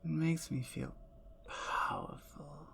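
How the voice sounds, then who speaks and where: A woman voicing a predatory, seductive female vampire in an ASMR roleplay, speaking in slow, low, teasing whispers. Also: wildly swooping pitch, creaky, a bit bright, heavily acted.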